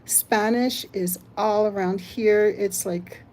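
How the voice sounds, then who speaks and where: An older woman hosts a casual live stream, reading viewers' comments aloud and thinking out loud as she goes. Her voice is mellow and warm and sits at a middle pitch. Faint background noise hums under the home-mic sound. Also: steady tone, slow pace. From United States. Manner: chatty, reads chat aloud, thinks out loud. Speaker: livestream host.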